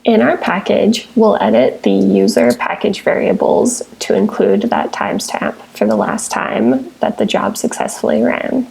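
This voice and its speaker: A woman presenting a software tutorial as scripted, step-by-step screencast narration, with measured, instructional phrasing. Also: mid-range voice, huge pitch swings, gravelly, breathy edge, poor audio. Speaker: software tutorial presenter, technical support engineer.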